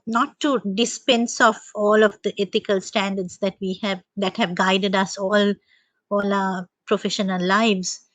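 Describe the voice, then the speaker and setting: A woman's voice: medium pitch, fairly bright tone, no room sound. A woman presenter on a video call panel, answering thoughtfully with frequent hesitations and ums.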